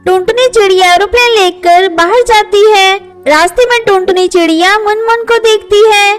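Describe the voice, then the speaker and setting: Very high-pitched woman storyteller. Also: normal speed. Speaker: narrator. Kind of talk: scripted children's story narration. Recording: faint music under voice. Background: faint music.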